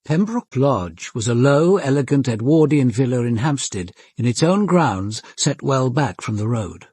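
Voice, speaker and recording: An actor with an English accent playing a composed, businesslike police commander, narrating and questioning directly in a radio drama. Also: male voice, mid-range voice, animated, measured pace, a little mellow, breathy edge, no room sound. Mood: observant, cool.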